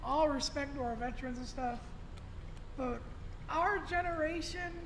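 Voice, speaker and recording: High-voiced man rambling emphatically. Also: a little slow, a bit bright, a little hiss or hum, poor audio. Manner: rambling, emphatic, swears freely. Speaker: podcast guest. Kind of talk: casual podcast talk.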